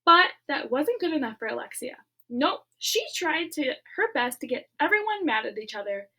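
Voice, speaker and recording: A woman reading a children's novel aloud as a teacher, expressive and adding her own asides between passages. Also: high voice, normal speed. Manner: expressive, with asides and quiet whispered beats.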